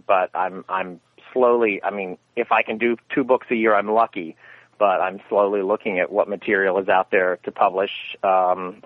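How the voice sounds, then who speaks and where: A man, giving a casual interview in a halting, self-effacing way over a remote call. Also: lowish voice, no room sound. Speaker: interview guest, radio theatre producer. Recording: very narrow, tinny phone-like line.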